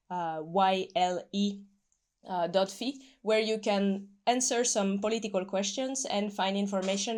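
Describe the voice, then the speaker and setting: A woman hosting an online radio interview, introducing the guest and asking questions in a friendly way with frequent fillers. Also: medium pitch. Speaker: interview host.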